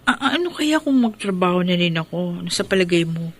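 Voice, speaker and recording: An actress playing a gentle, earnest young newlywed wife in a melodramatic radio drama. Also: female voice, fairly low pitch, lively intonation, a little fast. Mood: eager. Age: young adult.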